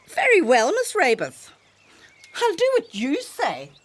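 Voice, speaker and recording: A nosy servant woman in a radio play, high-voiced and swooping widely in pitch. She is lilting, theatrical and obliging on the surface. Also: huge pitch swings, very slow, heavily acted. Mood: sly, obliging. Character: nosy, falsely obliging female household servant. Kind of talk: radio drama acting.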